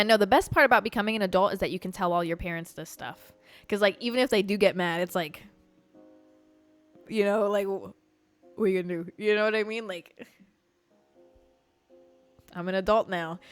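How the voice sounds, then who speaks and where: A woman in her twenties hosting a podcast, telling a casual story in a candid, loose, profane way with lots of "like" fillers. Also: medium pitch. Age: 20s. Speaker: podcast host.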